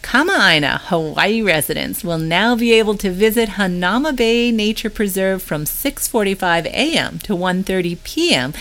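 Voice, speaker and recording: A woman with a slightly low voice reads a written news update aloud. She delivers it as scripted narration, in the role of a newsletter reader going through items one after another. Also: fairly bright tone.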